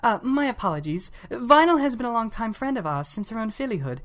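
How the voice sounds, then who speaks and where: A woman's voice: on the high side, very animated, fairly quick. A woman playing a kindly pony receptionist in an audio drama, polite, helpful and apologetic. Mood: puzzled, apologetic.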